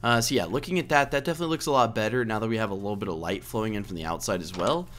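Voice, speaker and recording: A slightly hoarse man giving a casual, rambling let's play commentary, thinking aloud and correcting himself as he goes. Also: lowish voice, rapid-fire, fairly bright tone, soft background music. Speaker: video game let's play host.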